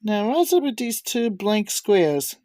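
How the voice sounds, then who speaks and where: Slow-paced woman showing items on camera. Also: mid-range voice, sing-song, warm tone, dry recording. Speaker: video maker showing cassette tapes. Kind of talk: casual unscripted show-and-tell narration.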